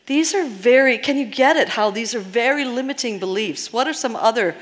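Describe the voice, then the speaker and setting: A woman's voice: fairly high pitch, lively intonation, brisk. Woman seminar leader in echoing hall. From United States. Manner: earnest, engaging the audience with questions. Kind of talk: live workshop teaching. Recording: long reverb of a seminar hall.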